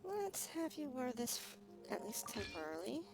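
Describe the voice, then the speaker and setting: A woman livestreaming a game, chatting casually and thinking aloud in a halting, musing way. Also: high voice, big pitch swings, creaky. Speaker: livestreamer playing a video game. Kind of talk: casual gameplay stream chat. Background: music.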